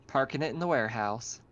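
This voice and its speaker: A man's voice: medium pitch, dull audio. A man running a tabletop role-playing game, narrating casually and informally. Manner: informal, laughs often. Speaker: game master.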